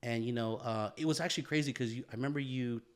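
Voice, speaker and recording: Male podcast co-host, mid-pitched, rambling and self-deprecating, filler-heavy. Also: fast, clear, resonant tone. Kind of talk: casual podcast chat.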